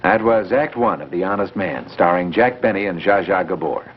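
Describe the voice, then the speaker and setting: A man's voice: low-pitched, normal speed. A man hosting as announcer, giving scripted narration in a 1950s broadcast style. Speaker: announcer and host. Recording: muffled, hissy 1950s broadcast recording.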